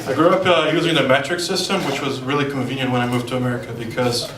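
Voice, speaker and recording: A young man from Brazil with a Brazilian Portuguese accent, telling deadpan one-liners in a stand-up set at a comedy club. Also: medium pitch, fast, heavy background noise. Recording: roomy club, far mic, loud crowd.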